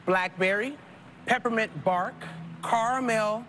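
A woman in her thirties hosting a TV game show, playful and quick with slang and jokes. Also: on the high side. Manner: playful, lively, Southern-tinged slang like y'all. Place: large studio set of a supermarket. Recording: TV broadcast over loud music. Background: loud game show music.

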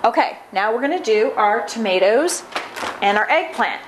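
A woman's voice: on the high side, lively intonation, normal speed. A woman in her forties hosting a casual cooking demonstration in a large home kitchen, chatting upbeat while she preps food with her hands. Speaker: cooking show host. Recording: audible background noise.

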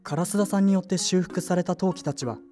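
An earnest, polite boy , acted by a woman, speaks with a mellow, relaxed tone. The audio drama has music under the voice. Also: on the low side, fairly quick, natural voice. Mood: narrating. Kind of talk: Japanese audio drama acting.

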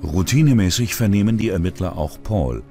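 A man narrates a true-crime documentary in a low voice. His delivery is dramatic and suspenseful, with a lively swing in pitch, over faint music. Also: a little fast.

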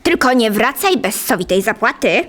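A woman playing a greedy, nagging, impatient peasant wife in an audio drama, shrill and scolding. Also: big pitch swings, normal speed, fairly bright tone.

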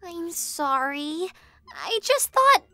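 Casting: tiny cartoon girl kitten, very high, bright, childlike, naive and eager. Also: slow pace, dry recording, faint music behind, full character voice. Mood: hesitant, sheepish. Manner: childlike, earnest, excitable, wide-eyed. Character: naive, eager, cheerful little female kitten. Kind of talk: animated comic dub acting.

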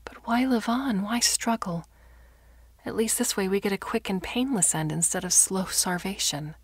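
A woman's voice: mid-range voice, normal speed, husky, no room sound, clean studio sound, voice pushed up, natural voice. Proper, reserved older lady, played by a woman reader, skeptical, guarded, audiobook fiction. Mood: resigned.

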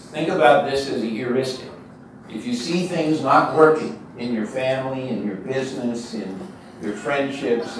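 An older man giving a conversational, emphatic keynote lecture at a university, pacing in a large lecture hall. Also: medium pitch. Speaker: guest lecturer. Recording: echoey hall, distant mic, faint noise.

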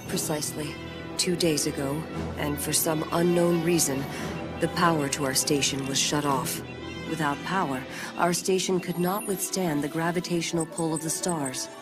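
A woman playing a composed, formal young female Vulcan scientist in a sci-fi game, speaking in a measured, precise way. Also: deep voice, fairly even intonation, close to an everyday voice. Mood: grave, composed. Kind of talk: scripted sci-fi game acting. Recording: music loud under the voice.

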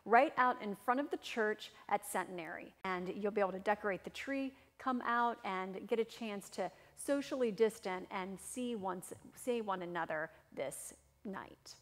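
A woman's voice: medium pitch, normal speed. A woman pastor giving announcements and readings in a church service. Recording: long hall reverb.

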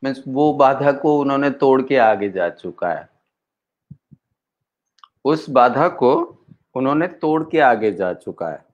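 A middle-aged man interviewing a guest over a video call, asking questions haltingly with many short acknowledgments. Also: medium pitch, lively intonation. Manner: halting, frequent "haan" acknowledgments. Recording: dull lo-fi video call audio.

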